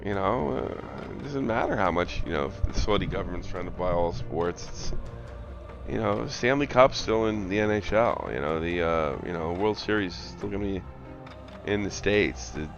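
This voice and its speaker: A deep-ish man talks soccer in a loose, rambling way, swearing for emphasis. His voice lifts and drops a lot. The mic sounds dull and lo-fi, with faint music playing behind him. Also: lively intonation, moderate pace. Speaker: co-host of a soccer watch-along stream. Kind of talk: casual opinionated sports chat.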